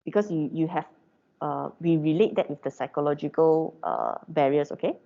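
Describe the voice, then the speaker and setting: A woman's voice: low-pitched, moderate pace, vocal fry, dark tone. A woman from Malaysia with a Malaysian English accent, giving an informal lecture to her students in a chatty way, with many fillers and tag questions. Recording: dull lo-fi recording.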